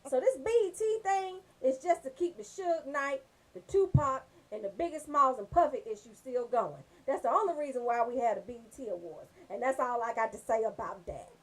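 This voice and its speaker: Brief: female comedy radio host, high voice with big swings, brash and emphatic, riffing through raunchy banter. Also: moderate pace, poor audio. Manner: brash, animated storytelling with emphatic check-ins.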